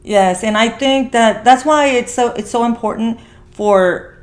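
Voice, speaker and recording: A woman's voice: on the high side, measured pace. A woman hosting a podcast in casual conversation, rambling as she repeats and rephrases herself. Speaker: podcast host.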